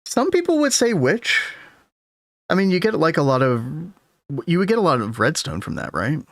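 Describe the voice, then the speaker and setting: A man streaming a game in casual, rambling talk to his chat, his voice a little hoarse. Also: fairly high pitch, huge pitch swings, normal speed, fairly bright tone, dry recording, clean studio sound. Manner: rambling, easygoing, talks to chat. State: losing his voice. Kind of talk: casual gameplay commentary.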